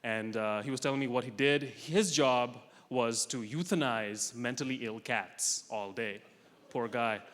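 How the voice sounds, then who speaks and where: Bright-voiced young man doing stand-up. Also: medium pitch. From Russia. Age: young adult. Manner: dry, self-mocking punchlines with quick asides. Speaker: stand-up comedian. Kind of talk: stand-up comedy set. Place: large comedy festival hall with audience. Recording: live hall recording with long reverb.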